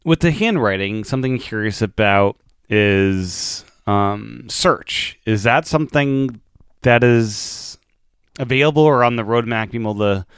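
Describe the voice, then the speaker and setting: A man hosting a podcast, asking questions in a relaxed, easygoing way. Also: medium pitch, measured pace, clear, resonant tone, no room sound, clean studio sound. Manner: relaxed, easygoing, casual phrasing. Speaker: podcast host. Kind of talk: casual interview chat.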